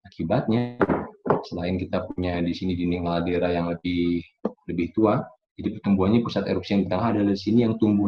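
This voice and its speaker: A man giving an informal explanatory geology lecture, using everyday analogies and often checking that listeners follow. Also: deep voice. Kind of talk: explanatory online lecture to an audience. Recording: roomy echo, dull lo-fi audio.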